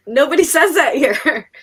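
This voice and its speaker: A woman's voice: sits high, a bit bright. A woman with a Northeastern American accent hosting a live stream, chatting casually in a giggly, talkative way. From Pennsylvania and New York, United States. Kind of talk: casual chat.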